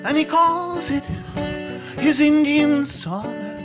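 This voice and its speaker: An older man, a singer-songwriter, singing his own songs into a stand mic on a small cafe stage, with soft, halting spoken introductions between songs. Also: very high voice, animated. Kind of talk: singing original songs with acoustic guitar. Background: his own acoustic guitar.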